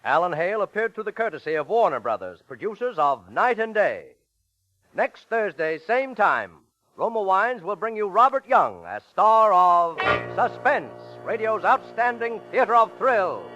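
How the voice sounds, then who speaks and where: A man's voice: high-pitched, sing-song, moderate pace. An American man announcing a program in dramatic 1940s radio style. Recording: dull vintage radio recording over music.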